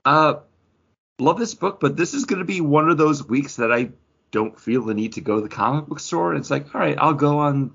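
A man's voice: on the high side, expressive, normal speed, gravelly, a bit bright, slightly rough, muffled recording. A man co-hosting a comic book podcast, chatting casually. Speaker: podcast co-host.

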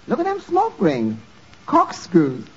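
A man's voice: high-pitched, huge pitch swings, takes it slowly, a little hiss or hum, heavily acted. An actor playing a droll male tavern sidekick in a 1940s radio comedy. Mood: droll, observant. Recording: muffled 1940s radio recording.

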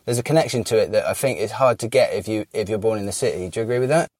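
A man's voice: on the low side, racing, a little mellow, dry recording. A man hosting a casual conversation, often restarting his sentences. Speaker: host.

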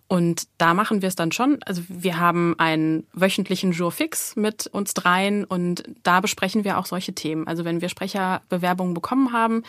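Casting: female agent, lowish pitch, earnest and emphatic advice, conversational, very little room sound. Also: a bit bright, dry recording, studio quality. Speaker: voice talent agent being interviewed. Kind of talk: conversational podcast interview.

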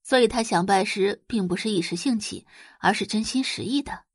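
A woman's voice: medium pitch, moderate pace, breathy edge, no room sound, studio quality. A woman reading scripted, dramatic story narration for an audio drama.

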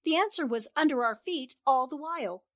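A woman acting a sharp, confident young newspaperwoman in a 1940s-style radio drama, crisp and assured as she reasons things out. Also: high voice, expressive, fairly quick. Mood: assured, excited. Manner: crisp, assured, explaining deductions.

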